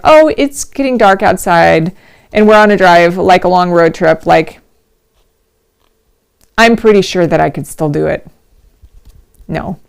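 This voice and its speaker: A middle-aged woman, a little hoarse, hosting a casual livestream from home, chatty and rambling with frequent laughs. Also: on the low side. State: slightly hoarse. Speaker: host of a knitting livestream.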